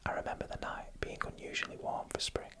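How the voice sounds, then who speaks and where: A narrator reading a scripted story in a breathy ASMR whisper, in slow, deliberate phrases with short pauses.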